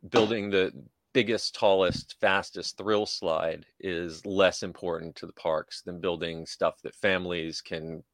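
A man co-hosting a podcast, going through theme park news informally, with a somewhat halting delivery. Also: deep voice, fairly even intonation, a bit bright, no echo. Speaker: podcast co-host.

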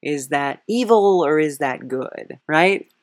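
A woman podcast host with an unhurried pace, recorded dry and close. She chats casually and wryly, rambling and hesitating as she puts questions in a comic interview. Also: deep voice, lively intonation, no echo.

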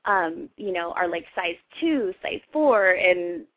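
Woman, from Hawaii, gushing casual guest, lower-pitched, lo-fi call sound. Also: expressive, slow. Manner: gushing, giggly, effusive. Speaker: interview guest, model and entertainer. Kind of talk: casual chatty interview. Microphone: phone call. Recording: dull phone call audio.

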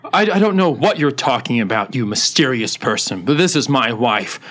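A man playing a scheming, melodramatic con-man lover in improvised comedy, stammering and overwrought, swinging between low and shrieking. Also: lively intonation, moderate pace, a bit bright. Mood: flustered, earnest.

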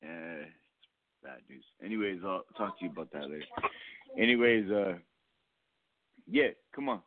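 A man's voice: low-pitched, expressive, normal speed, background noise. A man in his forties hosting a casual call-in radio chat, loose and profane, laughing a lot. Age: 40s. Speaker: host. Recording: phone-line call-in audio.

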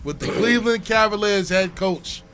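A man hosting a casual sports talk show, loose and slangy, quick to laugh. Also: high-pitched, steady tone, over music. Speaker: show host.

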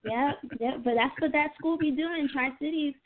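A woman's voice: sits high, moderate pace. Young American woman, tinny phone-line sound. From United States, Atlanta area. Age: young adult. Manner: informal, earnest, repeats phrases. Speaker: singer being interviewed. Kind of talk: casual interview chat.

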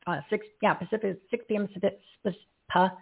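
A woman's voice: medium pitch, fairly even intonation, a little fast, gravelly, husky. A woman hosting a live radio talk show, rambling as she loses her train of thought and laughing at herself. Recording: tinny phone-like line.

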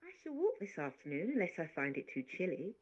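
A woman's voice: lowish voice, huge pitch swings, a little fast, slight background noise. A woman voicing a curious, lonely schoolgirl who speaks eagerly, in a dull lo-fi recording. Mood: plain. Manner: eager, wondering.

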